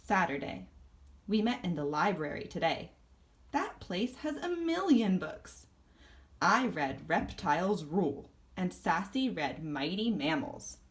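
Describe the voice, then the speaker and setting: Animated woman reading a children's story. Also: on the low side. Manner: animated, deliberate, acting out the story. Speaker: storybook reader. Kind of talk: expressive children's read-aloud, first-person story narration.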